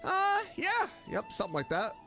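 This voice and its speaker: A woman's voice: extremely high pitch, huge pitch swings, normal speed. A woman doing playful, theatrical gameplay commentary as a streamer, reacting and reading dialogue aloud. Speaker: VTuber game streamer. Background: game music.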